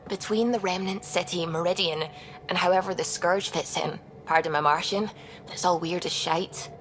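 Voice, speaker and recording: A woman playing a clever, brisk scientist in a space adventure game, informal and matter-of-fact with a wry edge. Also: fairly low pitch, fairly even intonation, a little hiss or hum, close to an everyday voice. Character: brisk, clever female scientist crew member. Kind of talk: video game cutscene acting.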